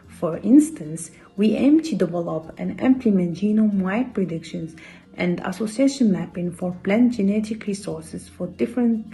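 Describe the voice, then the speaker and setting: A woman's voice: fairly low pitch, vocal fry, mellow, low-quality recording. A woman from Morocco with a Moroccan accent, giving a prepared award speech as a researcher. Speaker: postdoctoral researcher accepting an award.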